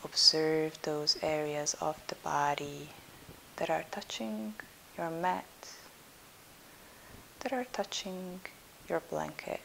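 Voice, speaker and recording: A woman with a low, creaky voice guides a relaxation meditation. Her delivery is soft and soothing, trailing into breath at the ends of phrases, with long pauses. A steady hiss sits behind her. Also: low-quality recording. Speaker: yoga nidra instructor.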